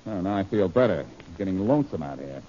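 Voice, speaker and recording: An actor playing a cool, sardonic amateur detective with brisk delivery in an old radio drama. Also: male voice, deep voice, sing-song, warm tone. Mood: wisecracking. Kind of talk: 1940s radio acting. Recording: noisy, dull old radio recording.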